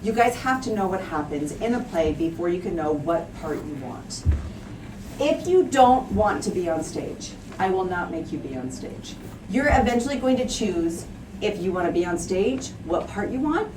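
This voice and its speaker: A woman's voice: fairly low pitch, big pitch swings, normal speed. A woman drama teacher giving classroom instructions to children, explaining step by step in simple phrases and stressing key words. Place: indoor school classroom. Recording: roomy echo, distant mic, faint noise.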